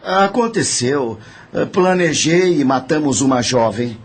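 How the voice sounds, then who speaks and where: An actor with a Brazilian accent playing a dead, greedy, scheming nephew who confesses in a matter-of-fact, calculating way in an audio drama. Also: male voice, mid-range voice, animated, slow pace, breathy edge. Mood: coldly confessing.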